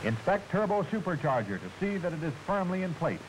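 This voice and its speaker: An American man narrating a 1940s military training film in a formal, clearly enunciated, instructive manner, on an old film soundtrack. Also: high voice, big pitch swings, brisk, background noise.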